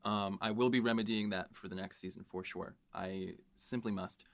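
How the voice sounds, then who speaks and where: A man with a fairly low voice speaks on a casual podcast as a writer. He talks in a measured, reflective way, taking time over his thoughts. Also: steady tone. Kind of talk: casual chat.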